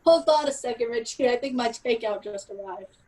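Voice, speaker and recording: A woman's voice: fairly high pitch, brisk, darkish tone, lightly acted, rough recording. A woman playing a brash, foul-mouthed female secret service boss, sarcastic and scolding, in a comedy script read. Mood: breezy.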